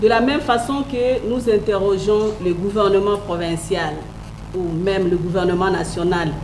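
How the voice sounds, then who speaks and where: A woman's voice: medium pitch, fairly even intonation, moderate pace, a little mellow, slight background noise. A woman, not a native French speaker, giving earnest, emphatic remarks as a panel speaker, with hesitant restarts. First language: a Congolese language. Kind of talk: public debate remarks.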